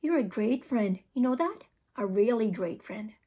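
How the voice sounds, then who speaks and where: A woman's voice: a little high, expressive, normal speed, dark tone, husky. A woman reading the part of a casual schoolboy best friend in a storybook, chatting informally. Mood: confiding.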